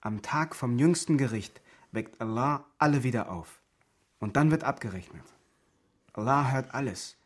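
A man's voice: medium pitch, normal speed, natural voice. Actor playing a solemn, devout Egyptian refugee man. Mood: preaching, certain. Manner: solemn, simple declarative sentences. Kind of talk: German radio crime drama acting.

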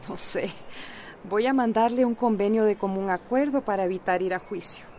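An actress in a radio soap opera playing a practical, frank friend. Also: female voice, medium pitch, normal speed, mellow, slight background noise, close to an everyday voice. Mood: matter-of-fact. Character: practical, frank woman friend handling legal matters.

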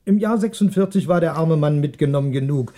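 A man's voice: fairly high pitch, brisk, warm tone, lightly acted. A male actor playing a cornered, apologetic ex-policeman and shopkeeper, speaking hesitantly and defensively in a 1960s German radio crime drama. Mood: evasive.

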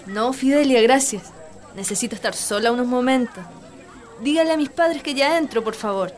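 A young woman playing a gentle, wistful daughter of a country estate in a radio play. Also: a little high, moderate pace, lightly acted.

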